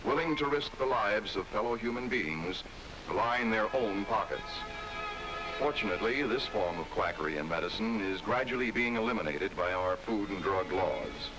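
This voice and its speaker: A man's voice: fairly low pitch, expressive, moderate pace, music in the background, low-quality recording. An American man reading scripted 1960s documentary narration with an emphatic, authoritative delivery on an old film soundtrack.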